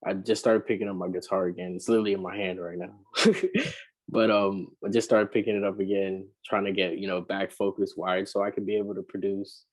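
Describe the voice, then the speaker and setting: A man, a rapper and singer, talking casually in an interview, relaxed and rambling with many fillers. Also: deep voice, fairly quick, low-quality recording.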